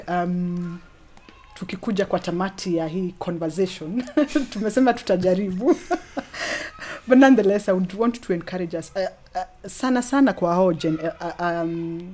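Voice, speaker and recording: Casting: female author as interview guest, Kenyan accent, lowish pitch, earnest and encouraging conversational delivery. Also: moderate pace, a little mellow. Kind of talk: conversational talk, mixing Swahili and English.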